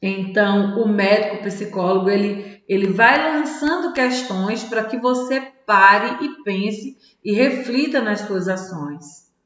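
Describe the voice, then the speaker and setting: A woman's voice: mid-range voice, unhurried, few pauses, a bit bright. A woman who coaches tarot and personal development, reading a self-help book aloud and commenting on it in a chatty way, often adding little "tá?" and "né?" tags. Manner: chatty, peppers sentences with "tá?" and "né?" tags. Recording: echoey room with long reverb, dull lo-fi sound.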